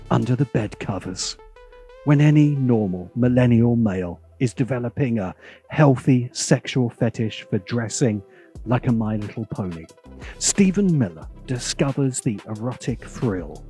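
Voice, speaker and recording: Man, mellow dark tone, sardonic scripted essay narration, dramatic emphasis, animated pitch, faint music behind. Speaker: video essay host.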